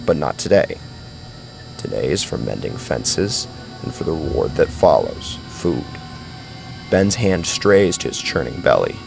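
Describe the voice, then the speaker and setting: A man's voice: extremely low pitch, very animated, measured pace, vocal fry, over music. A man narrating a scripted horror story in a dramatic style.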